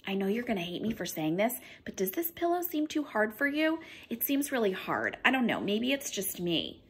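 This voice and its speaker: Woman voicing a quiet, stalling storybook creature with a pleading, bright-toned voice. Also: a little high, sing-song, breathy edge, exaggerated voice. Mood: musing. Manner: soft, slow, pleading and stalling. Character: sleepy, needy bedtime creature, gentle and whiny.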